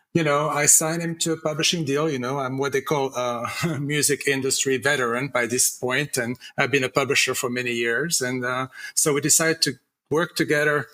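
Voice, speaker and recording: A man's voice: mid-range voice, pushed and bright. A middle-aged man, a music industry guest, telling his story casually in an interview. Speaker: music publisher and manager guest.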